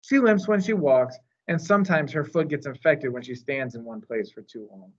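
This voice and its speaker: A man reading a children's novel aloud in a measured, expressive storytelling manner. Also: a little high, highs cut off. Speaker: storytime reader.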